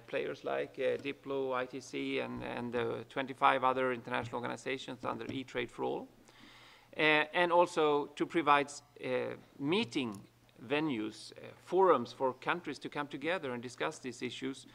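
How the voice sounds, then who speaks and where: A man's voice: mid-range voice, vocal fry, a bit bright. A man speaking on a conference panel for an international organization, hesitating often between phrases. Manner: halting, with many fillers. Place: large conference room.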